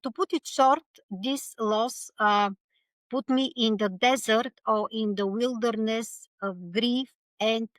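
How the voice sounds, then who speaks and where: A woman from Bulgaria with a Bulgarian accent, a guest speaking earnestly and haltingly in an interview, with many pauses and restarts. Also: mid-range voice, fairly bright tone, dry recording. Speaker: podcast guest. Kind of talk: reflective interview conversation.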